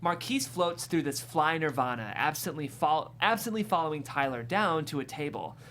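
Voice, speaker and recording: Stage directions reader: mid-pitched, descriptive, amused, scripted comedy narration, slight room noise. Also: lively intonation, normal speed, fairly bright tone. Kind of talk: scripted screenplay narration at a table read.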